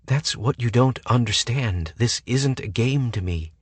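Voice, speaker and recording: A man voicing a sharp-tongued, sarcastic young woman with a mocking way of talking. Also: mid-range voice, animated, unhurried, slightly rough, no echo, pitched above the performer's own voice. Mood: sassy. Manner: sassy, mocking.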